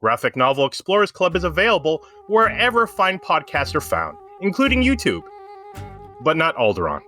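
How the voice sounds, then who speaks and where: A man's voice: on the high side, wildly swooping pitch, normal speed, pushed and bright, soft background music. A man co-hosting a podcast, talking casually and giving opinionated explanations. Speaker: podcast co-host.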